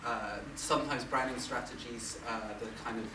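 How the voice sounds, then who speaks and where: Male moderator, halting and dry, far from the mic in a noisy, echoing hall. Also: medium pitch, fairly even intonation. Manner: hesitant, filler-heavy, dry asides. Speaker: panel moderator. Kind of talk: panel discussion.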